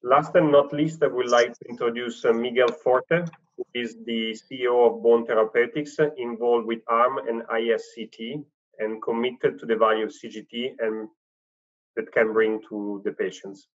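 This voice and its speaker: A man's voice: medium pitch, steady tone, normal speed. A man moderating a panel, introducing speakers formally with occasional fillers. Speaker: panel co-chair. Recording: dull lo-fi sound.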